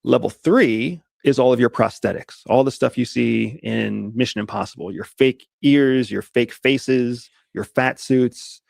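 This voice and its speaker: Mid-pitched man with a very gravelly voice, methodical explainer, dry close recording. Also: a little slow, hardly any pauses, darkish tone, no echo. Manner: methodical, lays points out step by step. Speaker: guest, disguise expert. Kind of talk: casual podcast interview.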